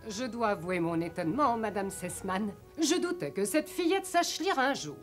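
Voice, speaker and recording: An actress playing a stiff, prim, easily flustered governess in a French cartoon dub, speaking formally and haltingly. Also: female voice, medium pitch, very animated, soft background music, lightly acted. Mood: stiff, offended.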